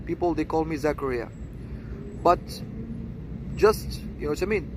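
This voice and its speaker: A man of about thirty-one with a Moroccan accent, rambling to viewers in halting short phrases and constantly adding tag questions. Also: fairly high pitch, noisy background. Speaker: seduction coach introducing own channel. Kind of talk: rambling vlog monologue.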